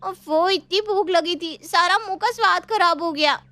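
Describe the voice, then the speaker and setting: A woman's voice: very high voice, normal speed, pushed and bright, no echo, pitched above the performer's own voice. A woman voicing a whiny, fussy young sister-in-law in a story, exclaiming and complaining. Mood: annoyed, complaining.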